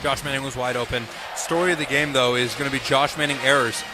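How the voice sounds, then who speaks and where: A man's voice: medium pitch, steady tone, fast talker. An American man giving emphatic, opinionated color commentary during a live football radio broadcast. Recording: loud stadium and outdoor ambient noise.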